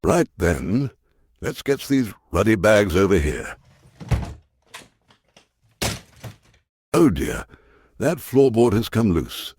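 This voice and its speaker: Wry, low-voiced older newspaperman, acted by a man. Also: big pitch swings, takes it slowly, darkish tone, slight background noise. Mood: curious, troubled. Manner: wry, muttering to himself. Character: world-weary retired war correspondent starting over alone. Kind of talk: audio drama acting, musing aloud.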